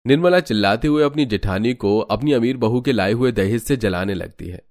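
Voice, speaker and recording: A man's voice: mid-range voice, fairly quick, a little mellow, dry recording, clean studio sound. A man narrating a scripted cartoon story as a storyteller.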